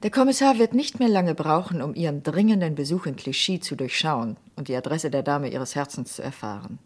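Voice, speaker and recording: An actress playing a cool, suspicious widow in a German radio crime drama, questioning in a sardonic, probing way. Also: female voice, deep voice, lively intonation, fairly quick, darkish tone. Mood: ominous, pointed. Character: cool, suspicious wife of a murdered man.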